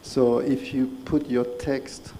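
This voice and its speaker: Man, very dark mellow tone, gravelly fry, slow deliberate panelist, faint ambience. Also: mid-range voice, level tone, a little hiss or hum, low-quality recording. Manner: measured, deliberate, pointed questions. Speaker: conference panelist. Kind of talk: conference discussion. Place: conference hall.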